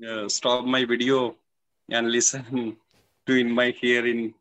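A man's voice: mid-range voice, little pitch movement, takes it slowly, fairly bright tone, low-quality recording. Hesitant man speaking in broken English. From Pakistan. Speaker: participant. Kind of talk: halting self-introduction. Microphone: phone.

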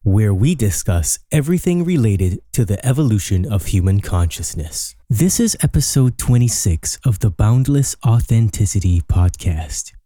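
Dark-voiced podcast host reading an intro. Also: male voice, on the low side, lively intonation, no echo, studio quality. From Barbados. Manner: measured, reads from a script. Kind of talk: scripted intro, then interviewing.

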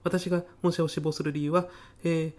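A man's voice: fairly high pitch, flat delivery, a little fast, darkish tone, lightly acted. A man playing an earnest, hapless university student at a job interview in a comedy sketch, with stiff, hesitant, rehearsed politeness. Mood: eager, apologetic. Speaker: job applicant. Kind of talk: audio comedy sketch acting.

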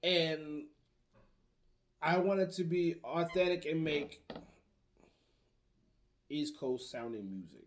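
Young man, high voice, hesitant, stumbling over words, casual chat. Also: slow, long pauses, a little hiss or hum. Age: young adult. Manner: hesitant, restarts a lot, laughs.

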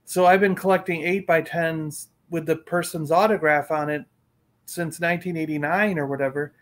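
A man's voice: on the high side, steady tone, normal speed. A middle-aged man in casual chat, talking in a rambling, emphatic way and repeating himself. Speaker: collector and panelist on a fan livestream.

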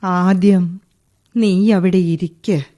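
An actress playing a stern elderly woman who catches a burglar at night, speaking in a slow, commanding, scolding way in a radio play. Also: on the low side, fairly even intonation, warm tone. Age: older. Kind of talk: 1980s-style radio play acting.

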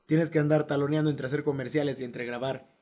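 A Mexican man co-hosting a podcast, explaining things in an animated, slangy casual chat. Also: on the high side, fast talker. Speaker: podcast co-host.

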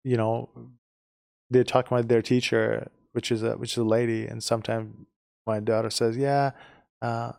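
Man, middle-aged, gravelly, halting, repeats words, casual podcast chat. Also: medium pitch, little pitch movement, darkish tone. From Iran. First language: Persian. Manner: halting, repeats words while searching for phrasing. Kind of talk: casual conversation.

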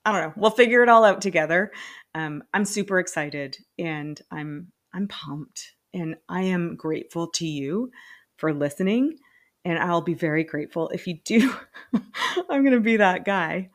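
A woman's voice: lowish voice, moderate pace. Candid woman in her late forties. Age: 40s. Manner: candid, self-deprecating, laughs often. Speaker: podcast host. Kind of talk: personal solo podcast monologue.

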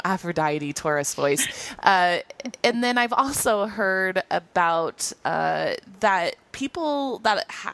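A woman co-hosting a podcast in a casual chat, with a nasal voice and a quick, rambling way of talking full of restarts. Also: on the low side, fairly bright tone, no echo. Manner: quick, rambling, self-correcting talk with restarts. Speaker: podcast co-host.

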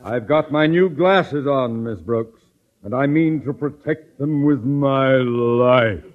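An actor playing a pompous, irritable middle-aged school principal in an old radio comedy, stern and exasperated. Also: male voice, mid-range voice, takes it slowly, heavy background noise, close to an everyday voice. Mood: indignant. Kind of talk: 1940s-50s radio comedy acting. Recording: tinny, band-limited old radio recording.

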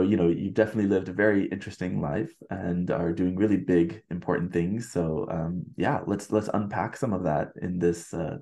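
Deep-voiced male podcast host. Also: darkish tone. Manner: casual, hedging with "like" and "you know". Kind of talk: podcast interview.

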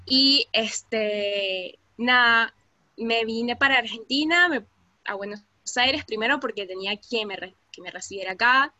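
A woman's voice: mid-range voice, no echo, highs cut off. A young Venezuelan woman from Caracas with a Venezuelan accent, chatting casually as a podcast guest with lots of fillers. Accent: Venezuelan Spanish, Caracas. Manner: relaxed, lots of fillers, laughs easily.

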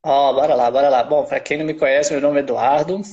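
A man's voice: mid-range voice, steady tone, fast talker, mellow, dull audio. A man from Minas Gerais with a Minas Gerais accent, chatting as a researcher guest on a podcast, talking freely with lots of tag questions. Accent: Minas Gerais Brazilian Portuguese. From Minas Gerais, Brazil. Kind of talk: casual conversational podcast.

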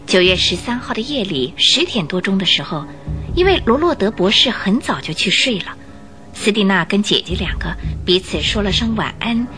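Woman narrator for a radio play, very bright clear-cut tone, middle pitch, faint music behind. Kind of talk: scripted radio drama narration.

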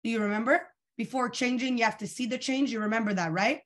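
A young woman leading a live online coaching session, energetic and asking her audience questions, her voice a little tired and worn. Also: on the high side, quick pace. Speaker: coach leading a weekly training call.

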